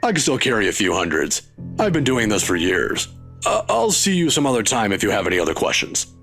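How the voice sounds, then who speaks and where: A man voicing an elderly, proud and evasive male professor with a gravelly voice in a visual novel. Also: fairly low pitch, wildly swooping pitch, brisk, fairly bright tone, faint music behind, heavily acted. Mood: gruff, proud. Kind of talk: visual novel character reading.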